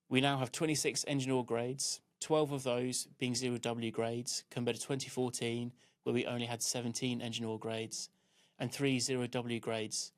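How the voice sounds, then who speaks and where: A man narrates a training film from a script. His delivery is measured and instructional, and his pitch stays fairly flat and even throughout.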